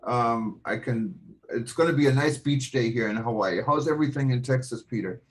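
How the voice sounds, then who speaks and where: A man hosting a live online show from a home office over a video call, chatty, with quick apologetic asides. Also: medium pitch. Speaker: host.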